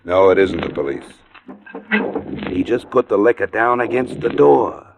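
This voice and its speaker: A man's voice: lowish voice, lively intonation, moderate pace, vocal fry, noisy background. A man playing a tough, level-headed American investigator in an old-time radio detective drama, blunt and dry in delivery. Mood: blunt, needling. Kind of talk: 1940s-50s radio detective acting and first-person narration. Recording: narrow, muffled old radio recording, music.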